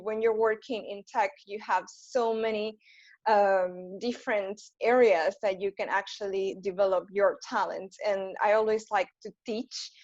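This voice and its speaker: A woman's voice: medium pitch, a little mellow, no echo, lo-fi sound. Argentine woman chatting on a call. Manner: chatty, friendly, fillers and light laughs. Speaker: panel guest. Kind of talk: casual panel talk.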